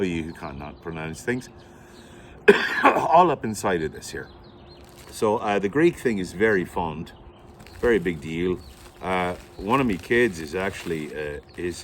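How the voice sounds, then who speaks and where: Deep-voiced theatrical male pipe host. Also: slight background noise. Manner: theatrical, playful showman with mock announcements. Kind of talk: playful unboxing vlog.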